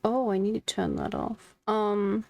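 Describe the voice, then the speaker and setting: A woman's voice: mid-range voice, fairly even intonation, unhurried, gravelly. A woman streaming a game, chatting casually and commenting as she plays, close on the mic.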